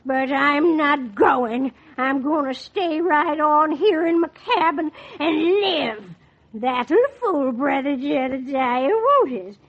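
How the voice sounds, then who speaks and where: A woman's voice: high-pitched, animated, slow, full character voice. An actress playing a stubborn old cabin woman in a 1940s radio drama, with a blunt, folksy way of talking. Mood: stubborn, sly. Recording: dull vintage 1940s radio recording.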